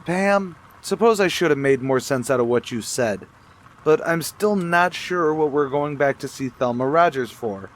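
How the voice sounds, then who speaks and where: A man's voice: fairly high pitch, animated, moderate pace, close to an everyday voice. A man acting as an easygoing, wry married amateur sleuth in a radio mystery drama. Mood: puzzled. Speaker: actor.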